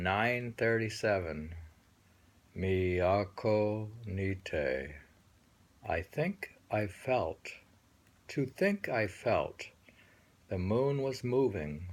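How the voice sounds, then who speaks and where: Slow, low, gravelly man reading poetry. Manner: musing, halting, repeats phrases. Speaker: host reading and discussing poems. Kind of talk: poetry reading with casual commentary.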